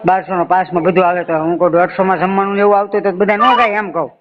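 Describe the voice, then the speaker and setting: Gujarati guy from saurashtra accent-wise, high voice, talks fast, keeps asking stuff casually, muffled phone recording. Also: steady tone. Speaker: caller asking questions. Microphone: phone call. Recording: dull lo-fi phone call recording.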